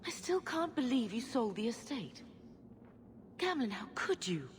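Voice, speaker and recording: Plaintive, expressive worried mother. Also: fairly high pitch, measured pace, slight background noise. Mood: dismayed. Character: anxious, grieving middle-aged mother of refugee family. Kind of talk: video game drama acting.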